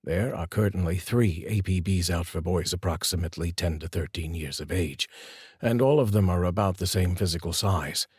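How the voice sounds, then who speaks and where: A male storyteller voicing a calm, formal police detective who speaks in a measured, soft tone. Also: sits low, breathy edge, dry recording, studio quality. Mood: somber.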